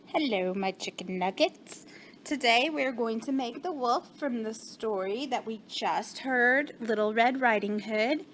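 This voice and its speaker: A woman teaching young children, slow and encouraging, with gasps of surprise as she reveals answers. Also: fairly high pitch, fairly bright tone, slight background noise. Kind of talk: children's lesson.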